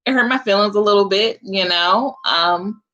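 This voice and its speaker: Live-stream host, woman, 40s, bright tone, casual and chatty, speaks straight to her viewers. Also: mid-range voice, moderate pace, lo-fi sound. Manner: chatty and informal, addresses viewers as sis and honey.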